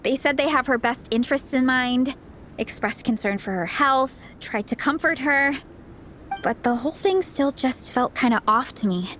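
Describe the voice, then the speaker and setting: A woman's voice: high voice, moderate pace, fairly bright tone, slight background noise. An actress playing an earnest, caring young athlete who captains her team in a video game. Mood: troubled, puzzled. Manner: earnest, troubled, plain-spoken.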